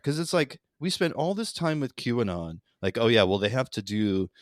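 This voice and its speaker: A man's voice: mid-range voice, big pitch swings, normal speed, dry recording, studio quality. A man bantering casually about politics as a podcast co-host, profane and sardonic.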